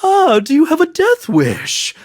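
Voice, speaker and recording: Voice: sits high, huge pitch swings, a little slow, no echo, studio quality, full character voice. An actor voicing a sly, courteous interrogator with a theatrical, probing manner in a video game cutscene. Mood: mocking politeness. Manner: mock-polite, probing, theatrical.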